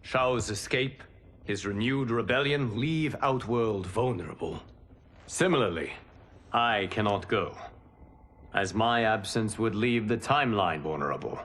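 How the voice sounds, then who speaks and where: An actor playing a calm, wise guardian god in a video game, speaking formally with measured authority. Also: male voice, lowish voice. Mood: firm, advising. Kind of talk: video game cutscene acting.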